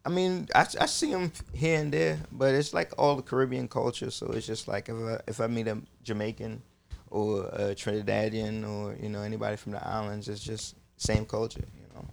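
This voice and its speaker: Gravelly young man in loose banter. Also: fairly low pitch, a little fast. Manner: animated, swears freely, lively quoted asides. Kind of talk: casual chat.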